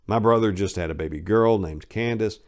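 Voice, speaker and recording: A man's voice: fairly low pitch, fairly quick. An American man giving a dramatic reading of a comic first-person memoir in a wry, self-deprecating, conversational way. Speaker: memoir narrator.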